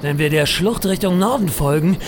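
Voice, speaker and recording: A man's voice: fairly high pitch, moderate pace, background noise, lightly acted. A man playing a reckless, unflappable adventurer hero in a comedic audio drama, pompous, flippant and melodramatic. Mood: breezy, confident.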